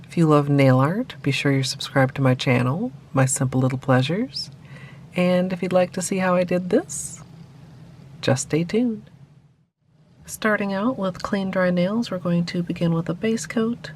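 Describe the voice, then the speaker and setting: A woman narrating a nail art tutorial as a voice-over, explaining each step calmly. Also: fairly low pitch, measured pace, slight background noise. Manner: calm step-by-step instructions.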